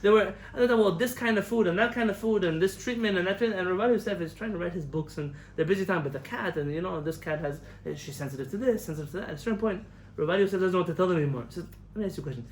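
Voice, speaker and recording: A young man from the United States giving a lively lecture as a rabbi, telling stories, acting out quotes and laughing at his own jokes. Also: sits high, racing, a little hiss or hum. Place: lecture hall in front of an audience. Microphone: camera recording of a live talk.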